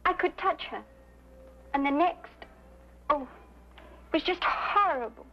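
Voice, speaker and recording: A woman's voice: sits high, expressive, slow pace, full character voice. An actress playing an earnest, obliging young showgirl singer in an old-time detective drama, telling things dramatically. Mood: shaken. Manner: earnest, dramatic, gossipy recounting. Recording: muffled old radio-era recording.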